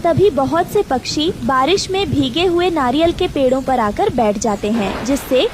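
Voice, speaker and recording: A woman's voice: high voice, lively intonation, moderate pace, background noise. A woman narrator reading a scripted children's story.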